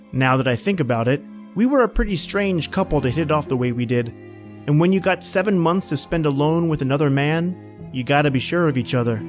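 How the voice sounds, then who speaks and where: A man playing a calm, plain-spoken surveyor in a radio drama, narrating and talking through a lonely Arctic winter. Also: a little high, natural voice.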